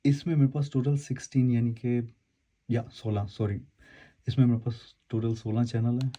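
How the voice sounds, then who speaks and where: Guy doing a casual gadget review, middle pitched voice, rambles and repeats himself. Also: flat delivery, moderate pace, warm tone, slight background noise. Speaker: host of an unboxing and review video. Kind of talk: casual explanatory product demo, speaking to viewers.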